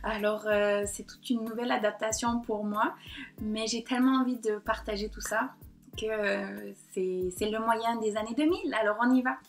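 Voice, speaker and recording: A woman in her thirties from the south of France, her accent blended with Québécois, talking straight to her computer in a personal vlog. She speaks hesitantly, with frequent pauses and fillers. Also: on the high side, faint music behind. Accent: southern French mixed with Québécois. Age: 30s. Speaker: painter and blogger. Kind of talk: personal confiding vlog to camera. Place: at home in front of her computer. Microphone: computer or laptop mic.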